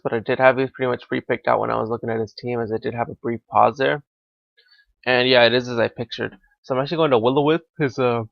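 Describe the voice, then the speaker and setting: A young man, slightly hoarse and raspy from being sick, giving live commentary on a competitive game and thinking out loud with frequent fillers. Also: medium pitch, no room sound, lo-fi sound. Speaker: gaming commentator.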